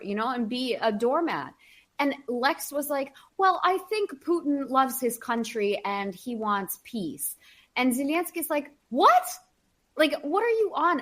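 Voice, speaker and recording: A woman's voice: fairly high pitch, fairly bright tone. A podcast host, a woman from Ukraine whose first language is Russian, telling stories in an earnest, opinionated way. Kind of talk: casual podcast conversation.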